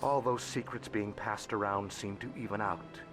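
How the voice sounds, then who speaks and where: An actor voicing a shrewd, secretive alien financier in a video game, speaking in measured, formal phrases with breathy endings. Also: male voice, lowish voice, fairly even intonation, close to an everyday voice. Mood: secretive, knowing. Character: alien male information broker, shrewd, courteous, secretive financier. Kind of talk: video game character dialogue. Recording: music behind the voice.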